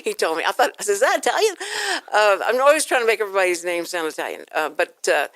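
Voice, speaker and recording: A woman's voice: medium pitch, lively intonation, quick pace, fairly bright tone, dry recording. A woman hosting a casual radio interview, chatty and effusive with exclaiming reactions. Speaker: radio show host. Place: radio studio.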